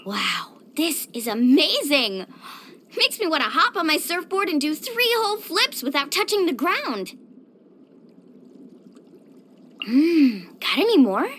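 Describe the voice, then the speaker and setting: A woman voicing an upbeat, caring, sporty young surfer in a video game, speaking in a peppy, expressive, friendly way. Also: high voice, unhurried, slight background noise, heavily acted. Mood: excited, musing. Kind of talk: scripted video game voice acting.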